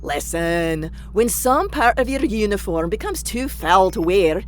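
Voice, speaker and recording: A woman's voice: medium pitch, expressive, measured pace, a little hiss or hum, lightly acted. Woman playing a brash, bossy Scottish spacefarer. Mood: scornful. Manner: blunt, teasing, colourful slang. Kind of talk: sci-fi comedy audio drama acting.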